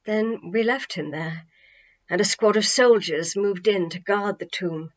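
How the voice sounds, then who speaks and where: A woman plays a gentle, earnest storybook man who speaks in a hushed, halting, tender way. The recording is a touch dull and lo-fi. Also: mid-range voice, close to an everyday voice.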